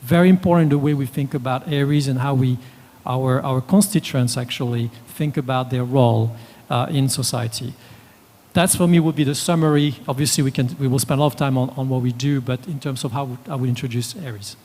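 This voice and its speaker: A man on a conference panel, giving a measured, businesslike presentation point by point. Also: mid-range voice, steady tone, darkish tone. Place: conference hall. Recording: long reverb of a conference hall.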